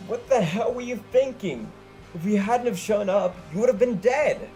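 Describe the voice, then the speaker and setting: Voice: medium pitch, sing-song, a little slow, a little mellow, soft background music, voice pushed up. A narrator voicing a brash, hot-tempered tomboy teenage girl who shrieks and swears. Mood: furious.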